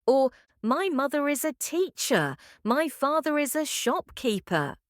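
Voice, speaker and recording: A woman co-hosting a podcast English lesson, speaking in a slow, clear, friendly teaching manner. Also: sits high, very animated, dry recording, clean studio sound. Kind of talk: scripted English lesson dialogue.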